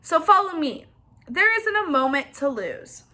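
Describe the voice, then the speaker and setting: A sly fox villain from a children's storybook, voiced by a woman, high and bright, feigning concern. He is persuasive and eager to seem helpful. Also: very animated, measured pace, a little hiss or hum, heavily acted. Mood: slyly persuasive. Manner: smooth-talking, persuasive.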